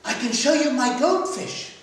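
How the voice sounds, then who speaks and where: Guy doing a chatty little girl in a story, pitched way up, echoey hall. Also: expressive, measured pace. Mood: eager. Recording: echoey hall, distant microphone.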